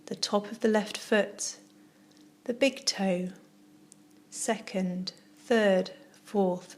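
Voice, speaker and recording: A woman yoga teacher leading a guided relaxation, speaking softly and soothingly in short phrases with long pauses. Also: mid-range voice. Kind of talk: guided meditation, yoga nidra narration.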